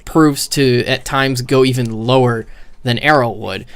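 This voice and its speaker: A man doing casual, unscripted game commentary as a streamer, profane and opinionated, on a microphone turned up too high. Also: steady tone, a little slow, fairly bright tone, smooth. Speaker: streamer critiquing a video game. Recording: overdriven, too-hot microphone.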